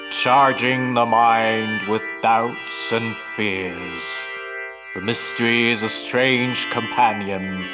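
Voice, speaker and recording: An actor playing an ominous mystery narrator, delivering portentous old-time radio narration. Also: male voice, on the low side. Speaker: announcer. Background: music with plucked strings.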